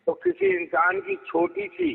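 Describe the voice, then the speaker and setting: High-pitched scolding man on a tinny phone line. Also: level tone, a little slow, creaky. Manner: scolding, imperious, interrupting. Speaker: religious preacher. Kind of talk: heated phone argument. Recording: tinny phone call recording with hollow reverb.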